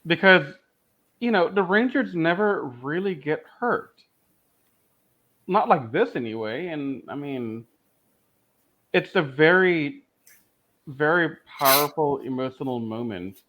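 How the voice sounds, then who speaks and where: Male co-host, slightly high voice, slangy and emphatic casual opinions, slightly muffled lo-fi audio. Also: expressive, slow pace, long pauses. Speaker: podcast co-host. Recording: dull lo-fi voice track.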